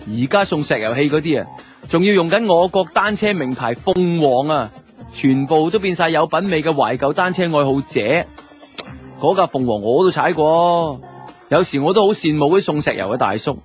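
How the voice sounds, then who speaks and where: A man's voice: on the high side, lively intonation, normal speed, faint music behind, natural voice. A man with a Hong Kong Cantonese accent playing a guilty, self-justifying two-timing boyfriend who narrates a radio drama in colloquial first person. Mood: wry, musing. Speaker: lead actor and narrator.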